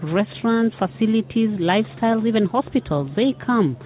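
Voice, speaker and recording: A woman's voice: fairly high pitch, expressive. Kenyan tourism rep, woman, earnest, stop-start delivery, tinny phone-line sound. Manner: earnest, halting, many fillers and repeated words. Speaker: tourism board representative. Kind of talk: radio interview answers. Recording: phone-like narrow band.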